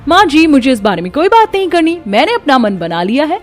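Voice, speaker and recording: A woman voicing a proud, scornful young wife in a cartoon story, talking sharply in a high voice. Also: sing-song, a little fast, faint music behind. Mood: haughty. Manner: sharp, haughty, raised voice.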